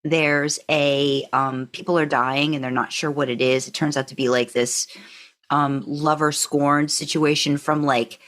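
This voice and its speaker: A woman's voice: low voice, steady tone, unhurried, fairly bright tone. A woman co-hosting a podcast, talking through her opinions in a casual, conversational way with hedging asides. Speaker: podcast co-host; narrator by trade. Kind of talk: casual fan discussion.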